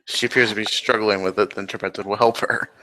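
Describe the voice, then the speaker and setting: A man describes his character's actions in a tabletop roleplaying game. His voice is a little low, with a bright, tense edge to it. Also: fairly even intonation, quick pace, poor audio.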